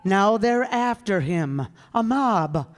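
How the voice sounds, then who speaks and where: An actor in a radio play as a stern senior angel, measured, admonishing and authoritative. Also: male voice, sits high, animated. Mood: measured command. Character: stern, unhurried male senior angel in heaven. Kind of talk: live radio play acting. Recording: stage hall with long reverb.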